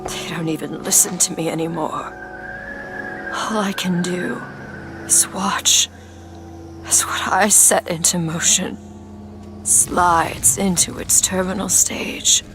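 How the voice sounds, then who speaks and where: Old lady in a game, weary n bitter, breathy, talks real slow, loud spooky score under it. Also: fairly low pitch, fairly even intonation, over music. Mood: bitter, resigned. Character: weary, broken old woman, bitter and resigned. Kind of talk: dramatic video game voice acting.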